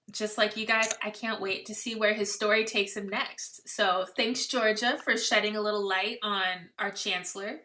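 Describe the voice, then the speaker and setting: Bright-voiced chatty woman podcast host. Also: medium pitch. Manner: chatty, upbeat, laughs often. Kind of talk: casual fan podcast chat.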